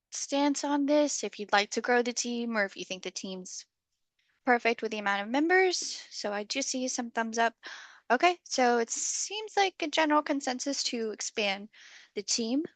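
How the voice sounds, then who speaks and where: A woman hosting an online community call, friendly and full of okays, slightly hoarse as she loses her voice. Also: a little high, no room sound. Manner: friendly facilitator, many okays. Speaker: meeting host. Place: video call.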